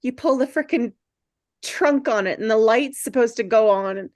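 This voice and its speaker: A woman reading the part of an earnest, insecure misfit teenage boy, halting and stammering, in a script table read. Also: a little high, darkish tone, dry recording, clean studio sound, lightly acted. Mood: upset, indignant.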